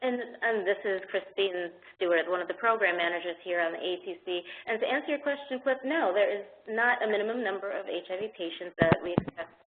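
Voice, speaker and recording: A woman's voice: mid-range voice, brisk, few pauses. A woman program manager answering questions on a conference call, explaining at length with some hesitations. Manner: explanatory, hesitant with fillers and restarts. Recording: tinny phone line with faint hiss.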